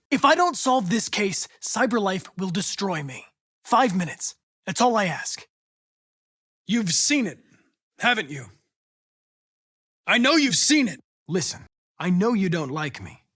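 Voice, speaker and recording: An actor playing a polite, earnest young male android police investigator in a video game, speaking in precise, formal, measured diction. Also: sits high, a bit bright, no room sound, studio quality, heavily acted. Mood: pressing, then composed, earnest. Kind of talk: scripted video game dialogue.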